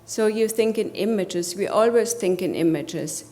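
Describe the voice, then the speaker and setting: A woman's voice: mid-range voice, measured pace, warm tone. A woman from Germany with a German accent, giving a motivational conference presentation and putting rhetorical questions to the audience. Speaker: conference speaker.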